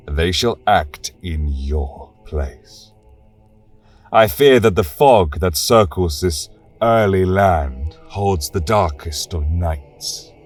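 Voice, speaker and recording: Man as a grave, low, husky angelic warrior. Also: far from the mic. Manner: grave, deliberate, formal. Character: stern, loyal angelic brother, trainer of paladins. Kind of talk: fantasy audio tale acting.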